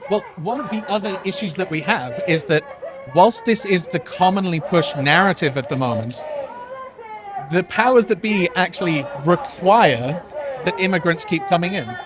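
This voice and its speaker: A man's voice: sits high, animated, moderate pace, over music. A man explaining his political views earnestly and casually out on a street. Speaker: protest interviewee. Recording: outdoor street with crowd noise.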